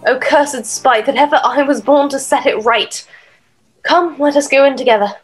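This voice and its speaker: A woman's voice: high voice, normal speed, soft background music, close to an everyday voice. A woman playing a brooding, earnest young noble in a theatrical, Shakespearean audio drama manner. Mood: weary, resolved. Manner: theatrical, archaic Shakespearean phrasing. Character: brooding, earnest young Danish noble sworn to avenge a father.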